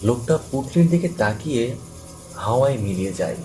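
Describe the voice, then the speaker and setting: A man's voice: medium pitch, animated, moderate pace, mellow, a little hiss or hum. A man reading scripted narration for a horror story. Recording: music behind the voice.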